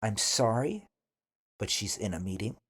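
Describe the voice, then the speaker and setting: Husky male English teacher, very varied intonation. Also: lowish voice, expressive, fairly bright tone. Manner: deliberate, careful enunciation for learners. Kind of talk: scripted language lesson with model phrases to repeat.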